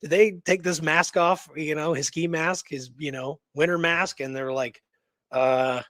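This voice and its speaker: A man co-hosting a radio show, talking casually and excitedly in an informal, rambling way. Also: fairly high pitch, unhurried, no echo, studio quality. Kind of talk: casual enthusiastic discussion.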